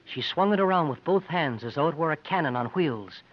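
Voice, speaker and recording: A man's voice: fairly high pitch, animated, a little fast. An actor playing an earnest young man who narrates his frontier adventure in 1950s radio drama style. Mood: dramatic. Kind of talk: 1950s radio acting, first-person storytelling. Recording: dull 1950s radio recording with music.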